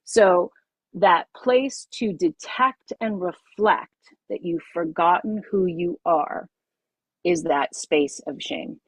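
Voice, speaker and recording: A middle-aged woman, a yoga teacher, leading a group masterclass over a video call, emphatic and expressive, repeating her key phrases. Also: fairly low pitch, slow, husky, dry recording.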